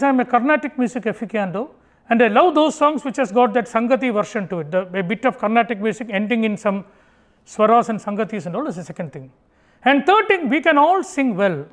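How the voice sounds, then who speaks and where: Animated high-voiced Indian man. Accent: Indian English. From Kerala, India. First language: Tamil. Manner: animated, acts out family dialogue in Tamil. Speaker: speaker giving a motivational talk. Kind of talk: conversational public talk. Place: auditorium.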